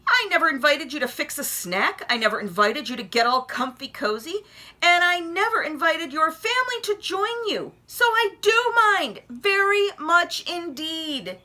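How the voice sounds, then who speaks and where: A woman's voice: very high voice, very animated, moderate pace, bright, forceful tone, pitched above the performer's own voice, full character voice. A woman voicing a polite, fussy male rabbit in a storybook, prim and put-upon. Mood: exasperated. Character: polite, reluctant, fussy male rabbit who finally snaps.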